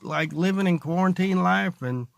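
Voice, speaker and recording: A man with a creaky voice giving a casual, rambling, sarcastic comedy monologue, recorded in a car. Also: high-pitched, moderate pace, no room sound. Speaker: stand-up comedian, vlogger. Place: inside a parked car.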